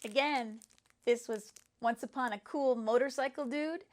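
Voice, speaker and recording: A woman's voice: a little high, a little slow. A woman, a school librarian, introducing a picture book read-aloud for children.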